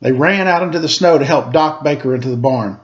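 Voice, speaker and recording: A man reading a children's story aloud in an expressive, dramatic storytelling manner. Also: fairly high pitch, brisk, lo-fi sound. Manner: dramatic read-aloud, acting out sound effects and dialogue.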